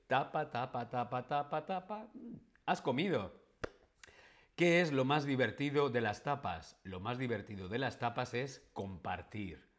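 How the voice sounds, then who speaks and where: A man teaching Spanish in a live stream, speaking slowly and clearly and repeating key words for learners. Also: medium pitch. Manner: reads viewer chat questions aloud. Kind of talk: live-streamed language lesson.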